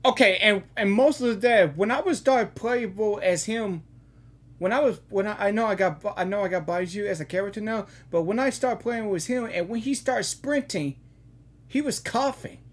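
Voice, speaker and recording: A woman giving casual, excitable gaming reaction commentary, slangy, repeating words for emphasis. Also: mid-range voice, moderate pace. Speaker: gaming reaction video host. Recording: game music faintly behind.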